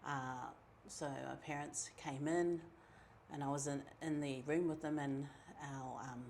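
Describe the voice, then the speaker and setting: A middle-aged woman from New Zealand with a New Zealand accent, telling her own story in a relaxed, chatty way, with many ums and asides. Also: low voice, unhurried, a little hiss or hum. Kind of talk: casual personal storytelling.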